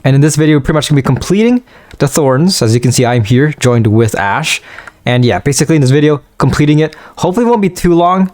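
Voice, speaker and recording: A man hosting a casual let's-play, rambling with many fillers, his voice a bit higher at night. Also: expressive, fast, darkish tone. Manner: rambling, filler-heavy, easygoing. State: voice higher than usual at night. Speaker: gaming channel host.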